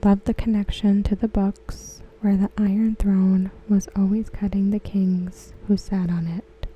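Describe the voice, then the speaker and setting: A woman reading aloud in a soft, breathy, near-whispered ASMR style, gentle and measured, close to the microphone. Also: mid-range voice, little pitch movement, a little hiss or hum, low-quality recording. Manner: gentle, hushed, measured delivery. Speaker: ASMR host. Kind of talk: softly spoken ASMR read-aloud of forum comments.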